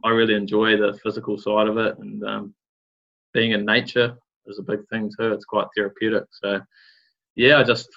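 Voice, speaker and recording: New Zealand man, low-pitched, monotone, relaxed rambling chat, dull lo-fi sound. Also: vocal fry. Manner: laid-back, rambling, many fillers and slang. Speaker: guest, surf business founder. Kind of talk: casual interview chat.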